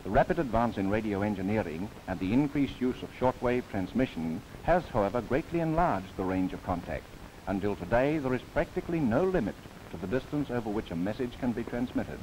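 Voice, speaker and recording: A man narrates in a lively, rising-and-falling newsreel manner, his voice sitting fairly low. He slips in wry, playful asides. The sound comes from an old film soundtrack with a faint hiss underneath. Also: brisk, rough recording. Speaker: documentary narrator.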